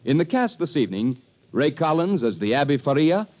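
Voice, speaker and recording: A man's voice: on the high side, very animated, fairly quick. A man reading the closing credits as a network announcer in a formal style. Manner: crisp, formal delivery. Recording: muffled 1930s radio broadcast with music.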